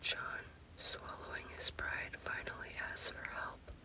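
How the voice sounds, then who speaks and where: Woman whispering a slow ASMR story. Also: sharp tone. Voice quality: unvoiced, breathy whisper. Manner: slow, deliberate delivery in short phrases. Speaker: storyteller, host.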